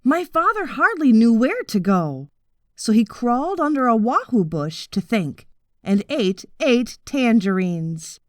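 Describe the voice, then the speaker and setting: A woman narrating a children's story from a script in an expressive, deliberate storytelling manner. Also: fairly high pitch, no echo, clean studio sound. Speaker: audiobook narrator.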